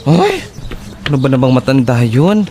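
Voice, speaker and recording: A woman's voice: a bass voice, huge pitch swings, moderate pace, noisy background, exaggerated voice. A woman playing a nervous, skeptical young tenant who stammers expressively in a horror audio drama. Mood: suspicious.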